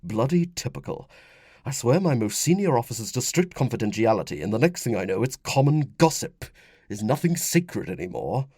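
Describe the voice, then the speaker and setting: A man voicing a Roman commander and father in an audiobook, gruff, affectionate and authoritative. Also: mid-range voice, very animated, normal speed, a little mellow, no echo, studio quality. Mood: grumbling. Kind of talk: audiobook character dialogue.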